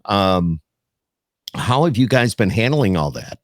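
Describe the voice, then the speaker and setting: Guy with a lowish voice doing a casual podcast interview, pretty dry close sound. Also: sing-song, slow, dry recording. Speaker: podcast host.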